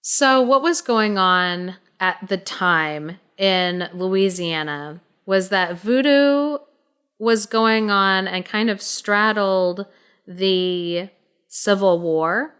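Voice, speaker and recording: A woman co-hosting a podcast, telling a story casually in an informal, halting, explanatory way. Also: medium pitch, fairly bright tone, clear, resonant tone.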